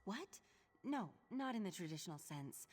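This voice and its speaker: An actress playing a matter-of-fact archivist of a mage order in a video game, conversational and dryly wry. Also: female voice, mid-range voice, sing-song, normal speed, darkish tone, slightly rough. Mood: wry, businesslike. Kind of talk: video game character acting.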